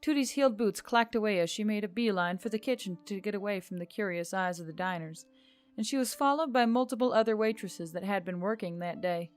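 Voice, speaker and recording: A woman reading scripted fiction as a story narrator, in an expressive storytelling style. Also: medium pitch, brisk. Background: background music.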